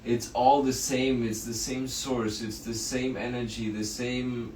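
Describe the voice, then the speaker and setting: A man guest on a podcast, speaking earnestly in casual spiritual chat, pausing often with filler sounds. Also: mid-range voice, level tone. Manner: earnest, pauses with many uhs. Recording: roomy, distant mic with faint noise.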